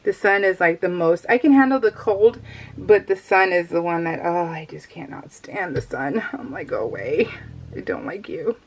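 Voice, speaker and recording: A woman's voice: on the low side, expressive, moderate pace, breathy edge, poor audio. Chatty, giggly middle-aged woman rambling casually. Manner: chatty, giggly, easily sidetracked. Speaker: craft vlogger. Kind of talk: casual chat, rambling vlog.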